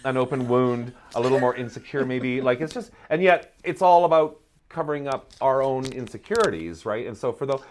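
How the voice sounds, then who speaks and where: A man's voice: mid-range voice, expressive, brisk, few pauses, darkish tone, a little hiss or hum. A middle-aged man giving reflective, articulate interview answers, emphatic but often searching for his words. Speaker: guest, playwright and drag performer.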